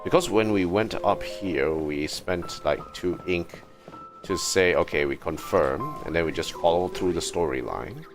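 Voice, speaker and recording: A man giving casual livestream commentary as he plays a game, musing aloud in a halting, hesitant way. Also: deep voice, expressive. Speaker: livestream host playing a game. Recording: game music behind the voice. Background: video game music.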